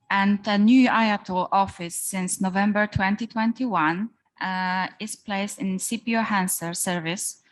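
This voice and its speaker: A woman president chairs a general meeting and hands over to the next speakers. Her voice sits at a middle pitch, and she speaks formally, haltingly, with frequent fillers. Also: rough recording.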